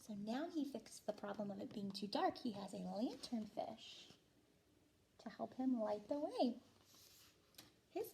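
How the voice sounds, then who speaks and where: A woman's voice: a little high, gravelly, slight background noise. A woman teaching kindergarten, reading a picture book aloud expressively and explaining as she goes. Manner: expressive, explanatory, with stressed phrases. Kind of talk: picture book read-aloud for young children.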